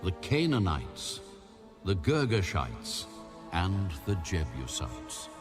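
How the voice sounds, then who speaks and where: God in a bible drama, super deep and slow, grave, music clearly underneath. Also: male voice, huge pitch swings, heavily acted. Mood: solemn decree. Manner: grave, measured, declamatory. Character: God, solemn commanding voice granting land. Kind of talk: dramatized audio Bible acting.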